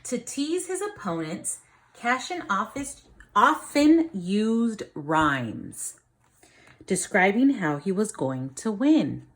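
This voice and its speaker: Lady teacher doing a kids read-aloud, really slow, voice goes up and down a lot, explains as she goes. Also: medium pitch, sing-song. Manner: instructive, pausing to question and explain simply to young listeners. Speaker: teacher reading aloud to children. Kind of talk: children's picture book read-aloud with explanatory asides.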